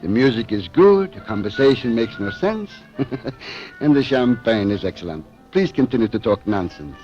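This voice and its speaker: A man's voice: mid-range voice, very animated, normal speed, lightly acted. Guy doing a drowsy formal cop in an old radio play, languid, dull lo-fi sound, music behind. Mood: drowsy, content. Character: drowsy, courteous Mexican police lieutenant. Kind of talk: 1940s radio drama acting.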